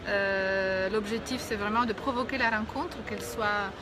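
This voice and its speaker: A middle-aged woman with an Italian accent, a guest talking in a casual live street interview and often pausing with fillers. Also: medium pitch, fairly even intonation. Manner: hesitant, many pauses and fillers. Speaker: guest, founder of an education movement. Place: outdoors on a city street. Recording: street traffic noise behind.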